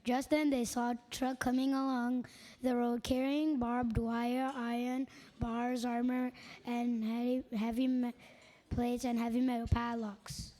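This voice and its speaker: A woman narrating a children's story aloud as part of reader's theatre, reading deliberately with some stumbles. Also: on the high side, level tone, mellow.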